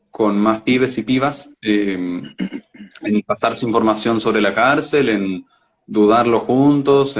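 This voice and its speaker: A man, talking as an interview guest. He explains in a reflective, conversational way, often hesitating and doubling back on words as he searches for the right one. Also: on the low side. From Israel.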